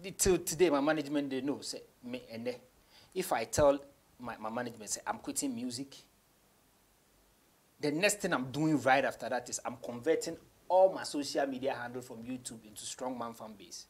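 Male Ghanaian guest, thirties, raspy medium-pitched voice, relaxed code-switching conversation. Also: a little fast. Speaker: interview guest, rapper. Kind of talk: casual chat, mixing English and Twi. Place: small office studio, indoors.

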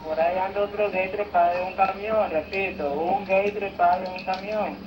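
A man's voice: sits high, steady tone, moderate pace, music in the background. A man chatting with friends while playing an online game, joking in mock police-radio announcements and repeating his lines. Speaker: gamer. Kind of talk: casual gaming chat with friends. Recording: noisy gameplay recording.

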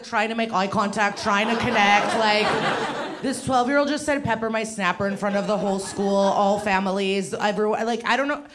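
A woman's voice: medium pitch, background noise. A woman in her thirties doing a stand-up comedy set on a handheld mic, rambling and chatty with asides to the audience. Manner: rambling, conversational, crowd-work asides. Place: small dim venue with a live audience. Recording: loud audience laughter. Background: audience laughter.

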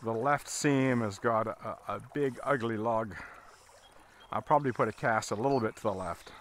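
A man giving a casual, halting fly fishing tutorial as an instructor, talking while he works outdoors. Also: mid-range voice. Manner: halting, explaining as he casts. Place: outdoors, standing in a river. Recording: outdoor recording with water noise. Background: running water.

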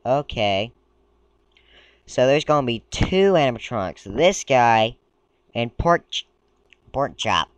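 A man casually commenting while browsing a game page, talking in a rambling, halting way and stumbling as he reads aloud, a little sick. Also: medium pitch, big pitch swings, clean tone, no echo. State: a bit sick. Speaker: gaming video creator. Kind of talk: casual screen-recording commentary.

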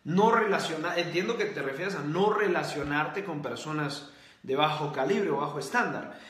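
Guy w a high voice doing a preachy motivational livestream, echoey room. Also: moderate pace, a bit bright. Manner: preachy, repeats key points. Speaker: host. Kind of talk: motivational livestream talk, reading comments.

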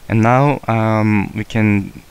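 A man walking through a structural design software workflow in a screen-recorded tutorial, unhurried and hesitant, with many fillers and self-corrections. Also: on the low side, fairly even intonation, clear, resonant tone. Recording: faint hiss.

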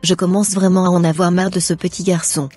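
A stern, fed-up cartoon mother acted by a woman speaks in a scolding, deliberate way. Her voice is mellow and husky, with faint music playing behind her. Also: on the low side, level tone, no echo. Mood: exasperated. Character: stern, exasperated mother of a wayward son. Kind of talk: scripted cartoon dialogue.

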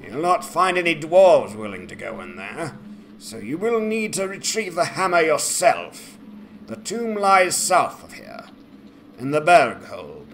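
A man acts out an old dwarf lord from a fantasy game tale. He is proud and aggrieved, speaking slowly and weightily with big swells in pitch. There is faint noise behind him. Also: sing-song, fairly bright tone, heavily acted. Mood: grave, resentful. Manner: slow, weighty, dramatic.

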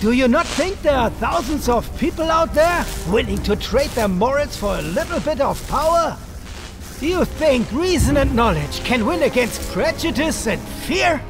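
An actor playing an older inventor in a video game cutscene, bitter and fatalistic, with a grave theatrical delivery. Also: male voice, very high voice, big pitch swings, moderate pace, over music, exaggerated voice. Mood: bitter resignation. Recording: loud cinematic score behind.

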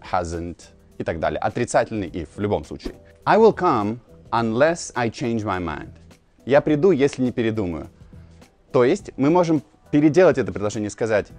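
A man's voice: mid-range voice, a little mellow. Male English teacher, informal, music behind. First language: Russian. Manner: explanatory, repeats key phrases slowly, gesturing. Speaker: English teacher, video host. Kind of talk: informal language lesson to camera. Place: outdoors on a narrow old-town street. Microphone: lapel mic.

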